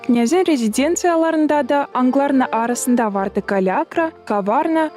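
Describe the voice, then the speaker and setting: A woman voicing a patient mother who tells history to children in an animated cartoon, in a measured storytelling style. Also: sits high, soft background music. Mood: patient, instructive.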